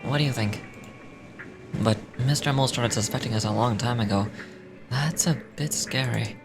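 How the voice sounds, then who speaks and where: A man's voice: mid-range voice, moderate pace, noisy background. A man reading game dialogue aloud in character, with small stumbles as he reads. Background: music.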